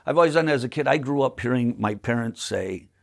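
A man's voice: medium pitch, lively intonation, fast talker, creaky. A man in his late sixties, a magician guest on a podcast, chatting and telling rambling stories with frequent laughs. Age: 60s. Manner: chatty, rambling storyteller who laughs often. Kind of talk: casual conversational interview.

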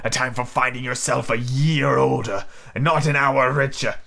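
A man's voice: mid-range voice, fairly even intonation, vocal fry, pushed and bright. A man voicing a bitter, scornful old miser from a storybook, in a growling, sneering drawl. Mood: indignant. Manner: sneering, slow and stern. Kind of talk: storybook character reading.

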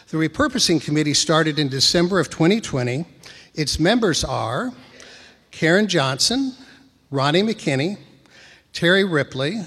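A soft-spoken man, reading a prepared committee report to a church congregation at a podium mic. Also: a little high. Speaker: church committee member presenting. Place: church sanctuary. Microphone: podium microphone. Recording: long church reverb.

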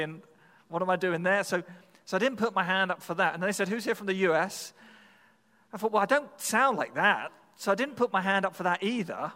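A man's voice: high-pitched, sharp tone, in a large hall. A man preaching, telling a personal story in a conversational, self-deprecating way with frequent restarts. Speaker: pastor. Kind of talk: sermon storytelling.

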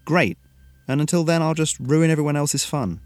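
A man's voice: a little high, sing-song, fairly quick, darkish tone, dry recording, soft background music, pitched above the performer's own voice. A man voicing an anxious, frustrated teenage boy in a story. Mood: sarcastic, protesting.

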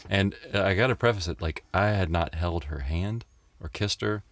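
An American man telling stories casually as an interview guest, with a wry, deadpan way of joking. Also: sits low, moderate pace, no echo. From Tennessee and Mississippi, United States. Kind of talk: casual conversational storytelling.

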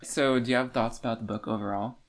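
A man co-hosting a podcast, talking in a casual, halting, conversational way. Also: mid-range voice, fairly even intonation. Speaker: podcast co-host. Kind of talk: casual book discussion.

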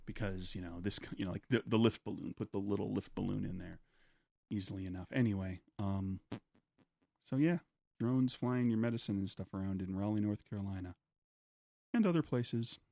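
A man's voice: on the low side, moderate pace, vocal fry, warm tone, no room sound. A man co-hosting a casual podcast, rambling through his points with lots of fillers and banter. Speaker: podcast co-host.

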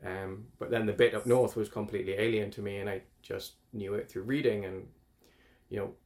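A man's voice: on the low side, fairly even intonation, brisk, darkish tone. A man, talking casually as an interview guest in a rambling, self-correcting way. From northeast England. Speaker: climate researcher guest.

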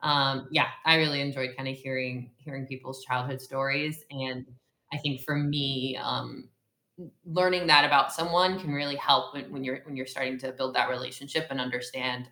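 A woman's voice: a bass voice, bright, forceful tone, roomy sound. A woman co-hosting a podcast, chatting casually with many fillers and restarts. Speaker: podcast co-host.

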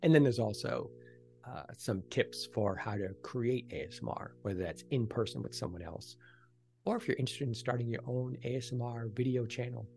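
Man with a creaky voice, interview guest. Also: mid-range voice, steady tone, brisk, a little mellow, soft background music. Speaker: podcast guest, ASMR creator and author. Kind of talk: conversational interview answers.

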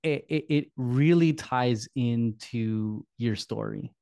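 A man's voice: medium pitch, slow pace, vocal fry, warm tone, no echo, clean studio sound. A man hosting a podcast, introducing his guest in a friendly, rambling way with repeated words. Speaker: podcast host. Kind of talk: casual interview.

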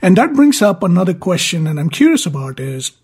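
A man with a slightly rough voice hosting an interview, halting and rephrasing as he works toward his questions. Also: high voice, sing-song, a little mellow. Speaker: podcast host, interviewer. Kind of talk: conversational interviewing.

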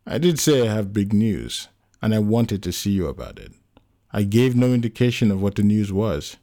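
Man playing a solemn, low-voiced friend, audiobook drama. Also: big pitch swings, moderate pace, dark tone. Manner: solemn, careful. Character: solemn, measured male friend bearing bad news. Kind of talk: dramatic audiobook character acting.

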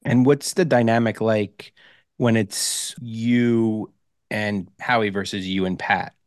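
A man's voice: fairly low pitch, fairly even intonation, takes it slowly, no echo, studio quality. A man asking casual questions as an interviewer on a podcast.

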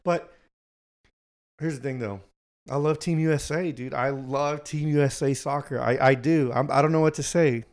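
A man in his twenties, chatting casually with a friend. He swears freely and repeats words as he gets excited. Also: medium pitch, normal speed. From Houston, Texas. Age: 20s. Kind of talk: casual chat.

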